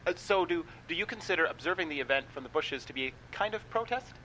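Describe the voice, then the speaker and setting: An actor playing a polite small-town morning radio host in a comic radio show. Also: male voice, on the high side, lively intonation, fast, bright, forceful tone, poor audio. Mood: polite, hesitant. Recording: faint background noise.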